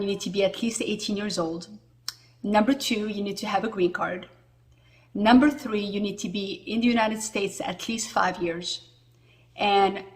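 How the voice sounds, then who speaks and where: A woman in her forties from Morocco, talking casually to camera as a vlogger, with a lot of filler words. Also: medium pitch. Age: 40s. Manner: chatty, with frequent fillers.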